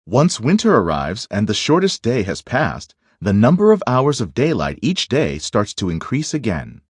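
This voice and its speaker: A man narrating a children's nonfiction book aloud in a deliberate, instructive way. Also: mid-range voice, huge pitch swings, slightly rough, no room sound, clean studio sound.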